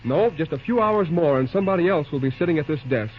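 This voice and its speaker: An actor playing a harried, excitable young American railroad man, slangy, in old-time radio drama style. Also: a little high, fast, soft background music, natural voice. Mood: despondent. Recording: dull, noisy vintage radio recording.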